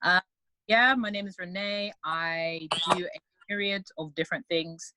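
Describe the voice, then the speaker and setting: A young woman from North London, a postgraduate student, talking about herself in a quick, playful way on a podcast video call. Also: on the low side, heavy background noise. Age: young adult. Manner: quick, playful, self-assured. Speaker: podcast co-host. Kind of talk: casual chat on a video call.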